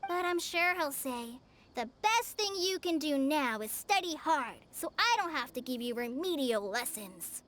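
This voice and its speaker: A woman's voice: high voice, animated, a little slow, a bit bright. A woman voicing a timid, anxious, sweet teenage girl apprentice in a video game, speaking hesitantly and earnestly. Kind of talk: video game character voice acting.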